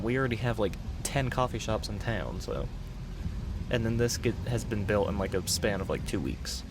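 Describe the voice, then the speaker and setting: Low soft-spoken young male voice, a man acting as a playful chatty boyfriend at the wheel, ASMR roleplay, casual rambling, music behind. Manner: casual, rambling, gently teasing. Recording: music under the voice.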